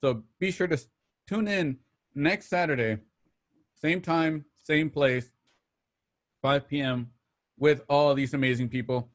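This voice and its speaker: A man's voice: on the high side, unhurried, long pauses. Casual male radio show host. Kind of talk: casual sign-off announcements to listeners.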